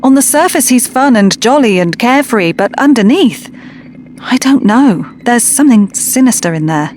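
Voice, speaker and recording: Woman playing a troubled, higher-voiced loyalist. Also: lively intonation, normal speed, darkish tone, a little hiss or hum, lightly acted. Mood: wistful, hurt. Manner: earnest, wistful, questioning. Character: troubled, conflicted, earnest woman, loyal friend. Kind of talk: audio drama acting.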